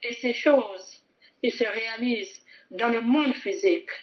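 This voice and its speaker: A woman preaches with fervour, circling back over her phrases and urging the congregation to repeat after her. Her voice sits on the higher side. The recording sounds muffled and lo-fi. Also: unhurried. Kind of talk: sermon.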